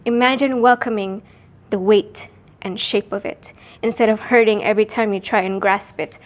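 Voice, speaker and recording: A woman from Sarawak, Malaysia, performing a spoken word poem in a measured, deliberate way, pausing between phrases. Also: medium pitch.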